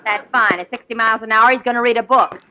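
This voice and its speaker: A woman acting in 1940s radio comedy as a sarcastic, unimpressed friend with deadpan delivery. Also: fairly high pitch, quick pace, close to an everyday voice. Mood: sardonic. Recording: noisy vintage 1940 radio broadcast.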